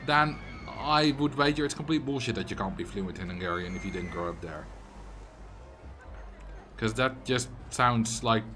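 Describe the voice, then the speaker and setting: A man's voice: mid-range voice, a bit bright. Dutch-accented man chatting on stream. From Netherlands. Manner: chatty, answers chat messages, rambling asides. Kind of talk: casual livestream chat while playing a game.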